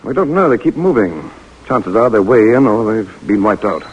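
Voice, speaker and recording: A weathered English mercenary with a husky voice. He speaks in clipped, dryly understated phrases, as in an old 1940s radio play. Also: fairly low pitch, very animated, normal speed, muffled recording. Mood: matter-of-fact. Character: wry, world-weary English soldier of fortune in exile. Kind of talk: 1940s radio drama acting.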